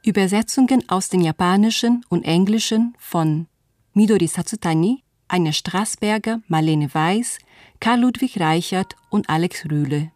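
A woman's voice: on the low side, normal speed, a little mellow, no echo. A woman announcer reading out the credits of a radio play in a scripted style. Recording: music playing behind the voice. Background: music.

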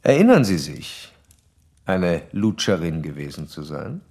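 Low-voiced, probing psychoanalyst doctor. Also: male voice, very animated, darkish tone, breathy edge, heavily acted. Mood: clinical, probing. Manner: measured, formal, didactic. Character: male psychoanalyst doctor, clinical, probing, self-assured. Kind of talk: radio drama dialogue and letter monologue.

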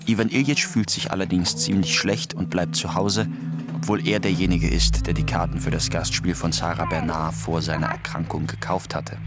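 A man's voice: deep voice, level tone, normal speed. A man narrating a scripted documentary piece. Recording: music under the voice. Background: music.

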